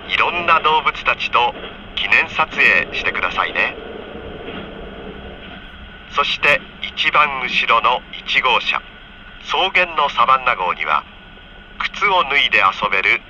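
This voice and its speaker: A man reading a scripted onboard train announcement for children in friendly, polite, clearly enunciated phrases, heard over a train PA. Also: medium pitch, sharp tone. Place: train car, over the PA system. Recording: dull train PA with faint noise.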